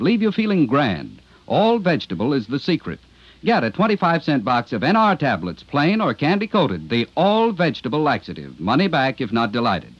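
A man's voice: mid-range voice, dry recording. An American man reading a 1950s radio advertisement as a commercial announcer. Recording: dull old radio recording.

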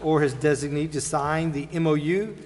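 A man's voice: fairly high pitch, normal speed, darkish tone. An American man acting as mayor, informally running meeting procedure and reading out agenda items. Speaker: mayor chairing a council meeting. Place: indoor council chamber. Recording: reverberant meeting room, faint noise.

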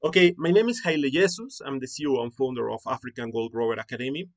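A man's voice: mid-range voice, quick pace. Earnest Mexican-accented man presenting live. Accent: Mexican Spanish. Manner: earnest, repetitive, with many fillers and rephrasings. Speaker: founder and host of an online academy.

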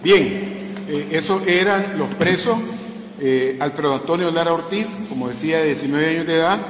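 A man's voice: fairly high pitch, normal speed. A middle-aged man giving a formal police press briefing, speaking in an official manner. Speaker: police spokesman. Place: large indoor press room. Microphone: bank of press microphones on table. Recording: echoey hall with faint noise.

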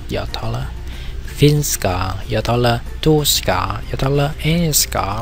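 Male teacher, very slow and halting, low gravelly voice, faint music underneath. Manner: slow, halting, many repetitions and fillers. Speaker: language teacher. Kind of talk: screen-recorded language lesson.

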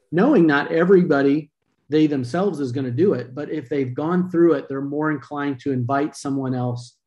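A man's voice: medium pitch, darkish tone. A middle-aged man hosting a video-call interview, talking earnestly with a few fillers. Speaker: podcast host. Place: home room, indoors. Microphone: video call webcam.

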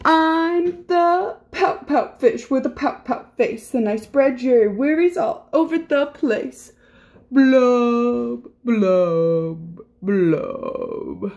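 A gloomy, sulking storybook fish, played by a woman, pitched high above everyday talk. He speaks in a slow, mopey sing-song of rhymes, resigned to being glum. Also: creaky, a little mellow, dull audio, exaggerated voice. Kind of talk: children's picture-book character voice.

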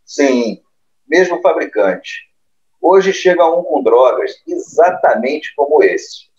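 Man acting slow, terse drug dealer. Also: fairly high pitch, expressive, darkish tone. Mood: guarded. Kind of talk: audio drama acting. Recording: dull lo-fi sound.

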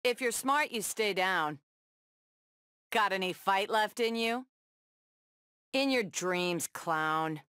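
An actress playing a tough, sardonic superheroine brawler in a video game, tossing out sassy, taunting one-liners. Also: female voice, medium pitch, animated, slow, long pauses, a bit bright, clear, resonant tone, no echo, clean studio sound. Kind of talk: video game fight banter.

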